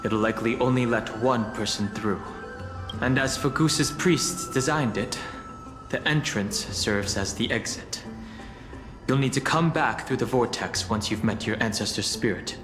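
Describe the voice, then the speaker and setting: A man voicing a calm, serious guide in a video game, measured and solemn in delivery. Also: medium pitch, close to an everyday voice. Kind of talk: English video game voice acting. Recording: echoey hall reverb under music.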